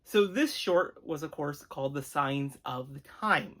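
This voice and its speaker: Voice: medium pitch, expressive, a little slow, a bit bright. An earnest, appreciative host giving an enthusiastic, conversational review with emphatic delivery. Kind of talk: enthusiastic retro cartoon review, conversational commentary.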